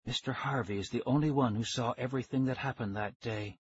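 A man murmuring as a hushed calm scientist. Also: mid-range voice, fairly quick, husky, no room sound, muffled recording. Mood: grave. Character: soft-spoken older male scientist, calm.

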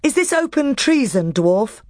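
A woman reading aloud, voicing a young king who speaks forcefully and indignantly. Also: high voice, very animated, unhurried, no room sound, clean studio sound, voice pushed up. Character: young male king, commanding.

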